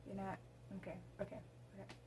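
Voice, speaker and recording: Teenage girl, casual vlog chat, rambling, mellow tone, faint background hiss. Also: medium pitch, monotone, a little fast. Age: teens. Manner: rambling and chatty, with many ums. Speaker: vlogger. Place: room at home.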